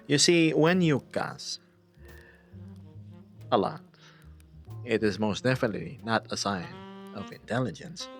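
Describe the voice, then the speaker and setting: Soft-spoken man streamer. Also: lowish voice, big pitch swings, faint music behind. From Philippines. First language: Tagalog. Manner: soft-spoken, drops into whispers, measured and dry. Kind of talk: casual chat with viewers.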